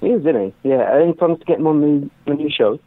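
A man's voice: on the high side, lively intonation, fast. Man calling in over a phone line. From Manchester, England. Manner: hesitant and friendly. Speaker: caller. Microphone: telephone.

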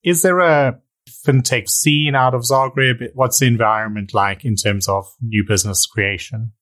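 A South African man hosting a podcast, with a voice of middling pitch. He lays out his points in a measured, explanatory way, like someone walking the listener through a story. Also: steady tone, fairly bright tone. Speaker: podcast host. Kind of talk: scripted intro and interview questions.

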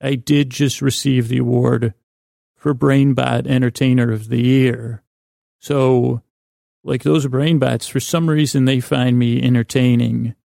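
A man hosting a bedtime podcast in a creaky, gravelly voice, rambling in a lulling, halting way with frequent stammers and self-interruptions. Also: mid-range voice, steady tone, mellow, no echo, clean studio sound. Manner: lulling, soothing. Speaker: podcast host. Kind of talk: rambling bedtime monologue to put listeners to sleep.

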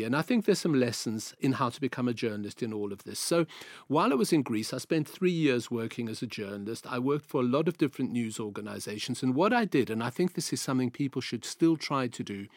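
A man in his sixties with a South African accent tells stories from his working life in a calm, measured way. His voice sits at an ordinary middle pitch. The sound is dry and close, with no room around it. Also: no echo. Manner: measured, anecdotal, repeats phrases. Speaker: guest, veteran journalist. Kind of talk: interview, storytelling.

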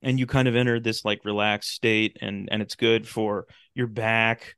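A man's voice: on the low side, level tone, normal speed, a bit bright, smooth, no echo. Male podcast guest, clean resonant voice, dry sound, casual and rambling delivery. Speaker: podcast guest, talk show host and radio drama maker. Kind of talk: casual interview chat.

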